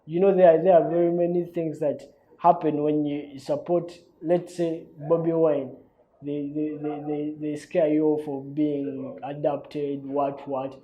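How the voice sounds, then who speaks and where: A man's voice: fairly high pitch, little pitch movement, a little slow, dull audio. A young Ugandan man hosting his own talk show in a loose, chatty monologue, often repeating words and tagging lines with "you know" and "man". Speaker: host. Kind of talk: casual monologue.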